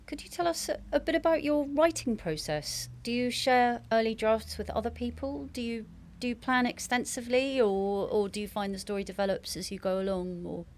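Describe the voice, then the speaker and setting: A woman's voice: a little high, a little fast, hardly any pauses, a little mellow, slight background noise. A British woman interviewing in a conversational way, with polite and tentative phrasing.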